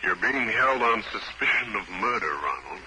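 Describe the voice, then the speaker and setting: A male actor plays a hard-boiled private eye in an old radio detective drama. His voice is low and cool. Heavy noise covers the recording. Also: animated, moderate pace, lightly acted. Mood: probing. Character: tough, cool private detective, man narrating his case. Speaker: lead character and narrator.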